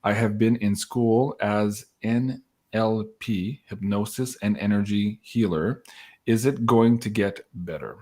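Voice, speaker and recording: A man hosting a live online show in an upbeat, excitable, casual way, reading out viewer questions and announcements. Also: fairly low pitch, takes it slowly.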